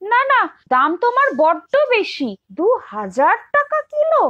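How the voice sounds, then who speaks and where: A woman's voice: very high voice, huge pitch swings, a little slow, highs cut off, exaggerated voice. A woman voicing an eager, anxious young woman in a children's cartoon, pleading and insistent. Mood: eager haggling. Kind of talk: exaggerated children's cartoon acting.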